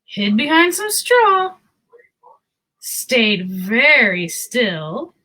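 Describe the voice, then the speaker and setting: A woman's voice: on the high side, big pitch swings, drawn-out words, bright, forceful tone. A woman hosting a picture book read-aloud, telling the story expressively with casual asides.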